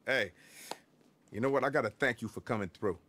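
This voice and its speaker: A man's voice: medium pitch, brisk, sharp tone, a little hiss or hum, close to an everyday voice. An actor playing a cocky, hot-tempered male music mogul in a game cutscene, brash, profane and commanding. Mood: defiant, commanding. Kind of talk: video game cutscene acting.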